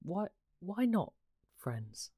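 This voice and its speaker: Female actor as immortal idealist, unhurried, earnest, pleading, challenging, radio drama. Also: on the low side, big pitch swings, mellow, breathy edge, heavily acted. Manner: questioning, pleading, emotionally invested. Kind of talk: radio play acting.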